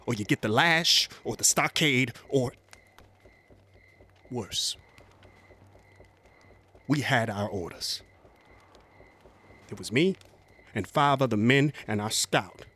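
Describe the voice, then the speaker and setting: An actor playing a haunted, rough-spoken US Cavalry veteran, telling his story in a folksy, halting way in a radio drama. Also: medium pitch, fairly bright tone. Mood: defensive, insistent. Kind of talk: dramatic first-person monologue, radio drama.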